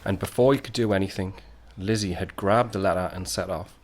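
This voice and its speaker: A man's voice: sits low, fairly quick, a little hiss or hum, lightly acted. A man playing a wry narrator, telling a 1919 tale in a dramatic storytelling style for an audio drama.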